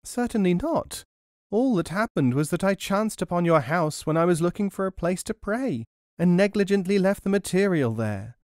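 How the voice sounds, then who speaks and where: A man's voice: high-pitched, animated, normal speed, darkish tone, dry recording, clean studio sound, pitched above the performer's own voice. Man putting on a screechy cunning old crone. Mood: sly. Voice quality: screechy, wheedling.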